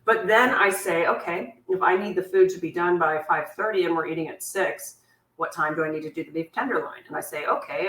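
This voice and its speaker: Woman host, slightly low and squeaky voice, chatty cooking-class teacher nursing a sore back, recorded at a distance in an echoey kitchen. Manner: chatty, warm-hearted asides, laughs at herself. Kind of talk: live online cooking class, answering viewer questions. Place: home kitchen. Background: clinking cutlery and dishes.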